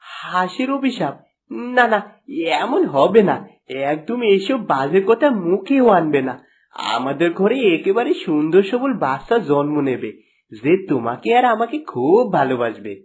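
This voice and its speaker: A man playing an earnest, emphatic young husband and loyal son in an animated folk story. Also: a little high, a little slow, husky, exaggerated voice. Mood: troubled, reassuring.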